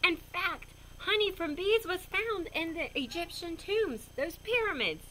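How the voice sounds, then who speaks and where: A woman reading a children's picture book aloud in a goofy, expressive way with chatty asides. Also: very high voice, a little slow, slight background noise, poor audio. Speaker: storybook reader.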